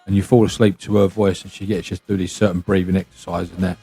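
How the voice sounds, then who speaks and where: A man in casual podcast conversation. His voice is fairly low, warm and husky. He talks in a blunt, matey way, regularly tagging his points with a checking question. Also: little pitch movement, fast, soft background music. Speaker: podcast panelist. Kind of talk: casual chat.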